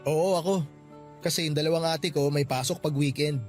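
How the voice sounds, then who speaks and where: A man's voice: fairly high pitch, fairly even intonation, moderate pace, a little mellow, faint music behind, natural voice. A man playing a friendly, helpful young office worker in radio-drama dialogue, chatty and given to teasing.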